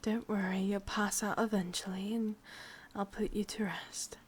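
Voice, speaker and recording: A woman playing a sadistic, possessive, lovesick witch in a whispered ASMR roleplay, speaking intimately in an English accent with a breathy, husky voice. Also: mid-range voice, little pitch movement, a little hiss or hum, natural voice. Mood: soothing, menacing. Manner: slow, intimate, menacingly tender.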